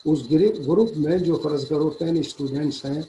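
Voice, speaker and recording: A man's voice: on the high side, moderate pace, dark tone. A man lecturing on law, explaining and repeating his phrases while mixing in English legal terms. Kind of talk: explanatory online law lecture with student questions. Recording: roomy echo, faint background noise.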